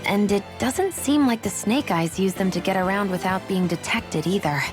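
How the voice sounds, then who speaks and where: An actress playing a wry, questioning, skeptical adventurer in a video game. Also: female voice, mid-range voice, normal speed, music in the background, lightly acted.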